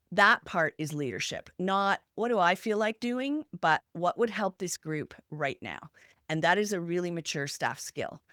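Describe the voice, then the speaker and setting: A woman's voice: fairly low pitch, a bit bright, dry recording, clean studio sound. A woman co-hosting a podcast, talking in a structured, instructional way and stressing points through short, parallel lists. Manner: emphatic, listing examples in short parallel phrases. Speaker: podcast co-host.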